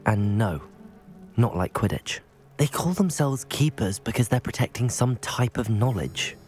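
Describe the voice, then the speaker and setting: A man's voice: medium pitch, lively intonation, measured pace, darkish tone, soft background music, close to an everyday voice. A young man playing a composed, thoughtful student wizard in a video game, speaking politely and with reserve. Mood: earnest, explanatory. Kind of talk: video game cutscene acting.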